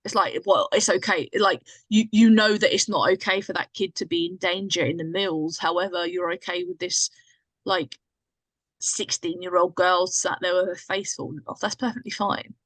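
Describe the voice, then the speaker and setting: A woman with a lowish voice gives her opinions in casual podcast chat. Her manner is informal and emphatic, and the sound is dry, without room echo. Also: fairly even intonation, normal speed, fairly bright tone. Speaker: podcast guest.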